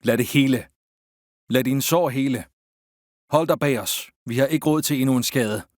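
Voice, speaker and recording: A man voicing a reassuring army surgeon in a video game, with measured, soothing delivery. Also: medium pitch, darkish tone, no room sound, clean studio sound, close to an everyday voice. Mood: calm, reassuring. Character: calm, reassuring male army surgeon tending wounded soldiers. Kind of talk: video game character voicelines.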